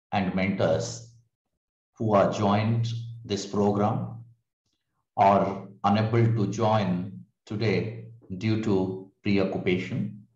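A man giving a slow, formal welcome speech. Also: lowish voice, darkish tone. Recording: roomy, dull lo-fi call audio.

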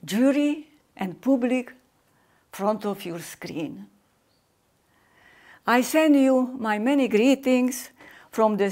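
Older woman, Hungarian accent, very slow, halting English, formal welcome speech, indoor room. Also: medium pitch, mellow. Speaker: competition artistic director. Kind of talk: formal video welcome address. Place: indoor room with painting behind.

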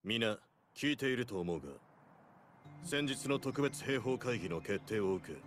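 An actor playing a composed male commander who speaks in a measured, formal, authoritative way. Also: mid-range voice, expressive, fairly bright tone, faint music behind.

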